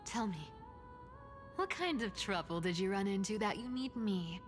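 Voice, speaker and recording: A woman's voice: mid-range voice, expressive, moderate pace, close to an everyday voice. A woman playing a wry, perceptive, no-nonsense female ally in a video game, blunt and knowing. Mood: wry, brisk. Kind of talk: game voice acting. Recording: faint music behind.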